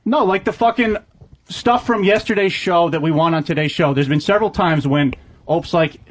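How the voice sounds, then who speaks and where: A high-pitched man argues as a radio show staffer in a workplace dispute. He is blunt and insistent, pressing his point with plenty of profanity. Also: normal speed. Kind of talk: heated workplace argument.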